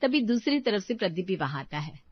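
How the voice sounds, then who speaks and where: A woman narrating a moral story in a smooth, scripted storytelling way. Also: mid-range voice, sing-song, brisk, no room sound. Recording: dull dry voice-over.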